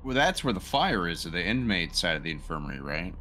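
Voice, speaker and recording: A man playing a tabletop game, talking casually and thinking aloud in a halting way. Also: deep voice, sing-song, pushed and bright, slight background noise. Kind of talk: casual chat.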